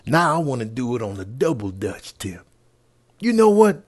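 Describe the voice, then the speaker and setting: A man's voice: medium pitch, big pitch swings, moderate pace. A man doing comedic voiceover commentary over video clips, as a comedian.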